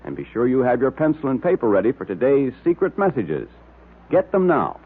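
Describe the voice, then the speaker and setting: An American man works as announcer and narrator on vintage radio. His delivery is lively and emphatic, like a pitchman's. The voice is slightly raspy and comes through a narrow, tinny recording. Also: brisk. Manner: emphatic, salesman-like. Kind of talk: old-time radio sponsor announcing and scripted narration.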